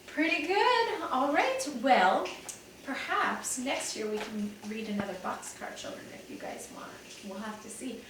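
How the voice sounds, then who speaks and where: A woman's voice: fairly high pitch, expressive, a little slow, a bit bright. A woman who teaches second grade, reading a chapter book aloud and talking encouragingly to her class. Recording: echoey room, mic at a distance, faint hiss.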